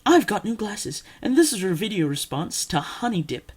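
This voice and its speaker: A woman's voice: mid-range voice, big pitch swings, normal speed, husky. Woman putting on a theatrical, swooping posh British lady. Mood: haughty, playful. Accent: put-on British. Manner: theatrical, over-enunciated, condescending. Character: posh, overpronouncing British woman giving haughty advice. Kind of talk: video response monologue to camera.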